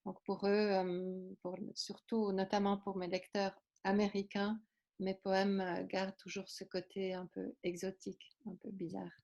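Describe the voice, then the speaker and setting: A woman's voice: mid-range voice, darkish tone. A woman giving consecutive interpretation, hesitant with many fillers and restarts.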